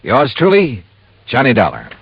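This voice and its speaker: A dull, faintly noisy vintage radio recording carries a shrewd American male insurance investigator. He has a middle-pitched voice with lively inflection. His delivery is clipped, assured and wry, in classic radio drama style. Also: moderate pace. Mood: dry, wry. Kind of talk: 1950s radio drama acting and narration.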